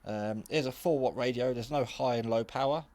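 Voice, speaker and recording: A man's voice: medium pitch, moderate pace, darkish tone, no echo. A man giving a casual, unscripted hobby radio review in a rambling, matter-of-fact, modest way.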